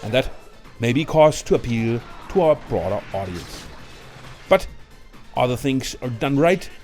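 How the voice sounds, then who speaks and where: A male reviewer narrates a scripted ranking of movies. His voice sits at an average pitch for a man. Music runs behind the narration. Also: lively intonation, unhurried, a little mellow. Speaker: video presenter and film reviewer.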